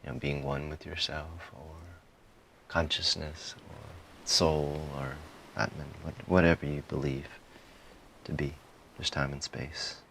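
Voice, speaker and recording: A man guiding a meditation in a calm, soothing manner, giving soft instructions in short phrases with frequent pauses. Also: a bass voice, gravelly, slight background noise, rough recording. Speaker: meditation guide. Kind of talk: guided meditation, softly spoken instruction.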